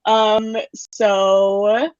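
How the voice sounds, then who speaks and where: Woman, twenties, actor, very unhurried casual delivery, dry, muffled lo-fi video call. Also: medium pitch, flat delivery, darkish tone, no room sound. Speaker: actor, recent drama graduate. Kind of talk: casual chat, interview answers. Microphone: computer video call. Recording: dull, lo-fi computer call audio.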